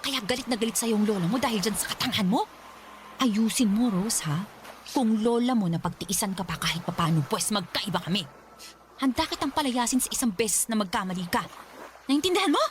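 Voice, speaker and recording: A woman's voice: on the high side, expressive, fairly quick, slight background noise, natural voice. A woman playing a cruel, scolding Filipino mother in a radio drama, berating and threatening in a harsh temper. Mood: stern threatening.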